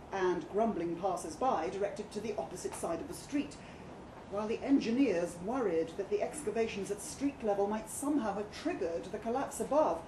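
A woman reading a short story aloud at a live event, with expressive, deliberate and theatrical narration. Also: medium pitch. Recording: echoey live room, background noise.

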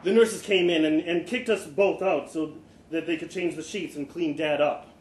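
Male live storyteller, slightly high voice, expressive delivery, roomy venue acoustic with faint noise. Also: normal speed. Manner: expressive, acts out dialogue. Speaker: reader of a short story. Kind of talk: dramatic live short story reading. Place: live literary event in an indoor venue. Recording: echoey room, live event, background noise.

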